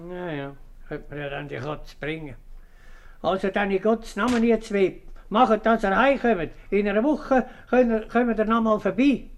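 Actor as a blustering Swiss German magistrate. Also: male voice, high voice, normal speed, slight background noise, natural voice. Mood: officious. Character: blustering, scolding male town councillor acting as judge. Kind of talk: 1950s radio comedy acting in dialect.

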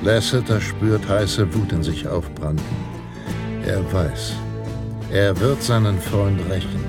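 A solemn Western narrator in a radio play. His low voice moves slowly and dramatically over prominent guitar music. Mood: solemn, dramatic. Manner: measured, dramatic storytelling.

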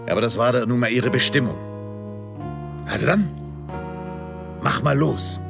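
A man's voice: mid-range voice, normal speed, vocal fry, over music, close to an everyday voice. An actor playing a blustering, sentimental middle-aged father and opera singer in a theatrical German radio play, muttering and groaning to himself. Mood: defensive, exasperated.

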